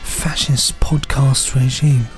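A man's voice: medium pitch, fairly even intonation, takes it slowly, faint music behind. A man co-hosting a podcast, chatting casually and jokingly in a droll, mock-serious way. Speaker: podcast co-host.

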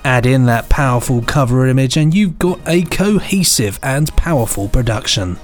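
A man's voice: medium pitch, expressive, moderate pace, faint music behind. A man co-hosting a podcast, reading out scripted reviews with some light banter. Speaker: podcast co-host.

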